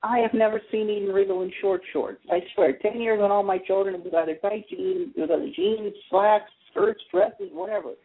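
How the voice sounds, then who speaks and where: A man's voice: high voice, fairly even intonation, a little fast, few pauses. A man hosting a casual radio talk show about TV, chatty and opinionated as he explains his views. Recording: tinny phone-like internet radio line.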